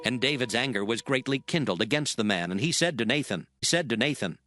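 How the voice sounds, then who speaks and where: A man narrating dramatized scripture in a measured, solemn way. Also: medium pitch, animated, fairly bright tone, faint music behind.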